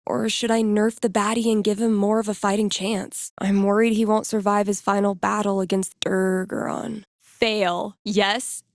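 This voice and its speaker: A woman's voice: mid-range voice, flat delivery, moderate pace, few pauses, no echo, lightly acted. An actress playing a wry, slangy young woman who DJs and runs tabletop games, in a video game. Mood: wry, casual. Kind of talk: video game character inner monologue.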